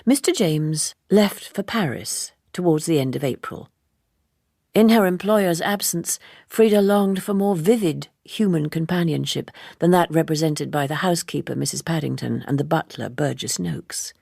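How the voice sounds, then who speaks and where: A woman reading a literary novel as scripted narration, in a measured and precise manner. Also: low voice, vocal fry, darkish tone, dry recording, clean studio sound. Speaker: audiobook narrator.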